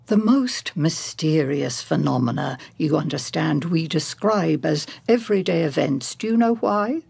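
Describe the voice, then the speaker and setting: A husky, probing female counselor. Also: deep voice, lively intonation, darkish tone, dry recording, heavily acted. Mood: insistent, philosophical. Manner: deliberate, questioning, rhetorical, philosophical. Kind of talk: radio drama acting.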